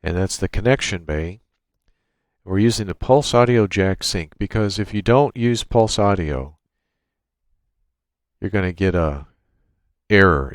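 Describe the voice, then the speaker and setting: A man narrating an unscripted software tutorial, explaining each step in a matter-of-fact way. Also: deep voice, dry recording, clean studio sound. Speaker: tutorial presenter. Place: indoors at a computer, screen-recording voice-over.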